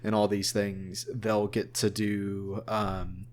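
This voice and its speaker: A man's voice: lowish voice, level tone, takes it slowly, darkish tone. A man speaking as a voice actor in a casual interview, in an earnest, rambling way.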